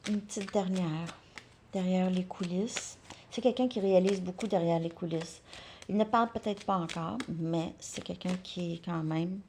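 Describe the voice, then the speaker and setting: A woman giving a casual tarot card reading. She thinks aloud, repeats words and drops into soft asides. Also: fairly low pitch, moderate pace, a little hiss or hum.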